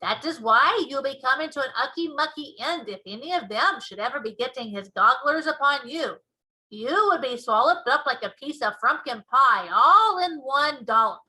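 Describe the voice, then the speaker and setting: A woman voicing a big friendly male giant from a children's storybook, folksy and excitable, with muddled grammar and made-up words. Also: high voice, normal speed, full character voice, poor audio. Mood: emphatic. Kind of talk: children's storybook read-aloud.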